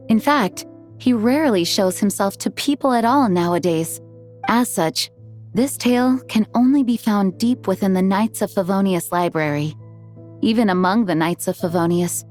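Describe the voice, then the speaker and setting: A woman playing a dutiful, composed knight commander in a video game, speaking in a measured, formal, courteous way. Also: mid-range voice, darkish tone, close to an everyday voice. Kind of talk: video game dialogue and story narration. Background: music.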